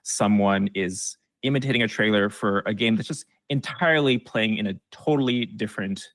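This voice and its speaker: A man's voice: on the low side, moderate pace, no room sound. A man explaining things as an expert guest in a casual interview, often acting out imagined audience reactions in a conversational way.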